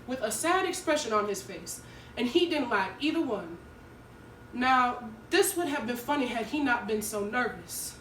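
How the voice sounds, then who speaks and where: A woman's voice: high voice, fairly bright tone. A woman playing an earnest, nervous teenage schoolgirl who tells her story in an emotive dramatic monologue. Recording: echoey room, distant mic, faint noise.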